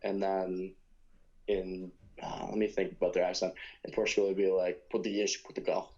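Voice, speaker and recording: A young man with a New York accent talks low and loose as a podcast guest, rambling through lots of ums and self-corrections. His voice comes through dull and lo-fi, as if over a remote connection. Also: level tone, normal speed, mellow. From United States. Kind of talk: casual conversation.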